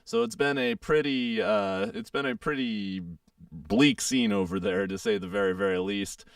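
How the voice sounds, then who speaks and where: A young man hosting a casual radio show, hoarse and froggy as he gets over a sore throat, chatting in a rambling way with lots of fillers. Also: medium pitch, wildly swooping pitch, moderate pace, dry recording, studio quality, recorded from a distance. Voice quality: froggy, gravelly.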